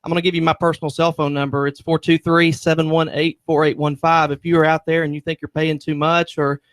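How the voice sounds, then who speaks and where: A man talking as an insurance agent guest on a radio show, making a conversational pitch. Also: level tone, fast, no echo.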